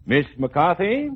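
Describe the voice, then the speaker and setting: Slow, very animated jovial doctor. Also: male voice, a little high, husky, dry recording, full character voice. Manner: drawn-out, teasing bedside manner. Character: jovial, bantering male family doctor. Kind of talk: 1940s-50s radio comedy acting. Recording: noisy, muffled old radio broadcast.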